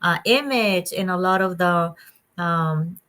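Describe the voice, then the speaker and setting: A woman from Colombia with a Colombian Spanish accent and a bright, sharp voice. She speaks as a guest in an interview. She talks in an animated way, often breaking into laughter. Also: on the low side, takes it slowly. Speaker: guest, psychologist and coach. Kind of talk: conversational interview.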